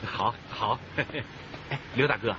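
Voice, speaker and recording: An actor playing a deferential, educated worker in a radio drama, hesitant and humble, given to chuckling and eager to please. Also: male voice, medium pitch, takes it slowly, natural voice. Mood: eager, friendly. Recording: dull, noisy radio drama soundtrack.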